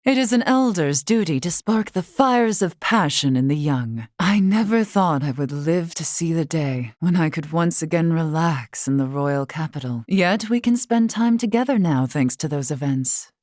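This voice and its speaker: A wise elder woman of a fantasy race, with a fairly low voice and a close, dry sound. She speaks in formal, old-fashioned phrasing, measured and courtly, with a gently teasing temper. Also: expressive, no room sound. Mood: fond, composed. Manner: formal, measured, archaic wording. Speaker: voice actress in a video game. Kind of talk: scripted game character dialogue.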